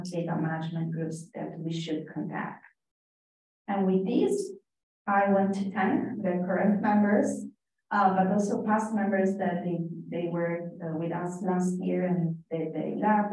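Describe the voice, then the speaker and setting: A woman's voice: lowish voice, fairly even intonation, unhurried, a little mellow, far from the mic. Woman presenting in an echoey hall. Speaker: working group presenter. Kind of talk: conference presentation. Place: conference room.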